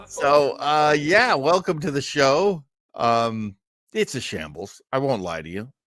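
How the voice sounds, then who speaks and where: A man's voice: medium pitch, animated, slow, fairly bright tone, no echo, studio quality. A man hosting a live show in a casual, rambling way, self-deprecating and scattered, often hesitating as he goes. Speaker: livestream host.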